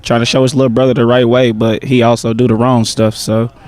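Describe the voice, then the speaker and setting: A young man, a stage actor, answering interview questions in a relaxed, slangy way with many pauses and "um"s. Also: mid-range voice. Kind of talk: casual interview chat.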